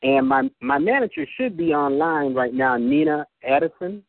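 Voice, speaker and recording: A man, a singer, speaking as a radio guest, hesitant with many pauses and fillers. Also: fairly high pitch, very animated, dry recording. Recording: tinny phone line.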